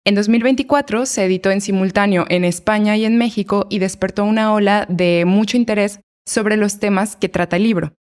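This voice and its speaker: A woman narrating a documentary podcast in a measured, scripted storytelling voice. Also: mid-range voice, steady tone, darkish tone.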